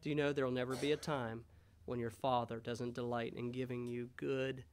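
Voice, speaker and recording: An American man in his thirties preaching a sermon as a pastor in a measured, pausing way. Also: mid-range voice, flat delivery, slight background noise. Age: 30s. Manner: measured, pausing, rhetorical questions. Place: church sanctuary, indoors. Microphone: gooseneck pulpit microphone.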